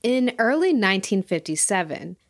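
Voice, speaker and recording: An actress playing an earnest music documentarian, narrating in a deliberate, poetic, dramatic manner. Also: female voice, medium pitch, sing-song. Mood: earnest, reverent.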